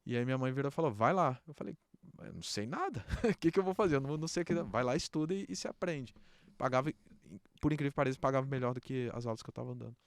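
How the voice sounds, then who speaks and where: A man's voice: medium pitch, lively intonation, fast talker, dry recording. A middle-aged Brazilian man with a Brasília accent, talking casually as an interview guest, often adding little check-in tags. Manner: informal, peppered with check-in tags. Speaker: podcast guest, trade association president.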